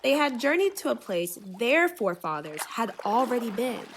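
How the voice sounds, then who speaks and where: A woman reading a children's book aloud as expressive, rhythmic, dramatic storybook narration. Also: a little high, moderate pace, heavy background noise. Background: music.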